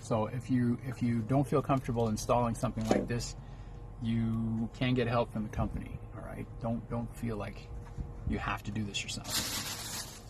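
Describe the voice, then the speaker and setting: A man's voice: mid-range voice, flat delivery, normal speed. A man talking casually as a trucker vlogger, explaining things in a folksy, rambling, step-by-step way. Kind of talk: casual unboxing vlog chat. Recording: clear background noise, vehicle ambience.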